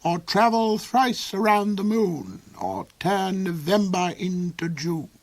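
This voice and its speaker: A cold, sneering old duke, played by a male reader, who drawls every cruel word slowly. He savours his threats and lets them fall into silky, hissing whispers. The voice sits close and dry, with no room around it. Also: high voice, lively intonation, no room sound, pitched above the performer's own voice, exaggerated voice. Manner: slow, silky, mocking, drops into hissing whispers. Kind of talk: audiobook character voice.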